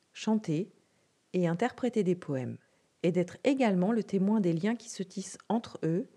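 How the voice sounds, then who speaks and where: A woman narrating a podcast introduction in measured, scripted French. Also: mid-range voice, slightly rough. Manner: even, measured reading.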